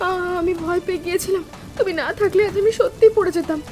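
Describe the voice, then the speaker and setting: A woman playing a timid, grateful younger sister-in-law in an animated family drama, speaking in an earnest, emotional, deferential way. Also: extremely high pitch, fast talker. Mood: shaken, grateful. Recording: music bed with rain sounds.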